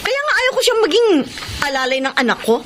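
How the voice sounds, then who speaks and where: A woman's voice: high voice, sing-song, brisk, noisy background. A woman playing a haughty, irritable, wealthy businesswoman mother in a radio drama, curt and scornful. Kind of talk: Tagalog radio drama acting.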